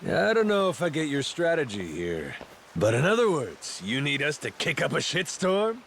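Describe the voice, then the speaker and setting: A rough, loyal pirate captain from a video game, swaggering and slangy. His gravelly voice sits slightly high and moves around animatedly, over faint background ambience. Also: very animated, normal speed, a bit bright, a little hiss or hum, full character voice. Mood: wry, questioning. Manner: swaggering, slangy tough talk. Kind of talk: video game cutscene acting.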